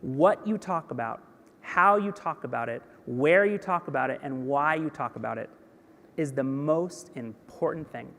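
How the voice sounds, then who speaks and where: A man in his thirties giving a business keynote on a large stage, energetic and conversational, with self-deprecating asides. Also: on the high side, moderate pace, creaky, big-room sound. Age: 30s. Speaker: keynote speaker. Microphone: headset mic.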